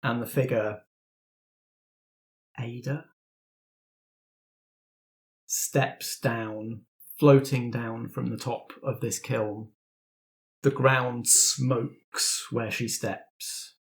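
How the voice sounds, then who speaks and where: A man narrating a tabletop roleplaying game as game master, in deliberate, descriptive phrases. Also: medium pitch, steady tone.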